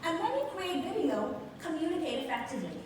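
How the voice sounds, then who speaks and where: A woman's voice: extremely high pitch, sing-song, fairly quick, darkish tone. A woman with a Bahamian accent giving an emphatic motivational stage speech, stretching key words for effect. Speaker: motivational speaker and show host. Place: large venue on stage with live audience. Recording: very echoey stage sound, distant, noisy.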